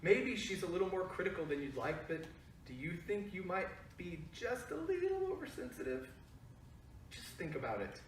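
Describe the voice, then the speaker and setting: An actor gives a gentle father who talks softly and tentatively, trying to keep the peace. The voice sounds far from the mic in an echoey room with faint noise. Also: mid-range voice, very animated, moderate pace, close to an everyday voice. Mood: placating. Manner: soothing, tentative. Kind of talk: staged play reading over video call.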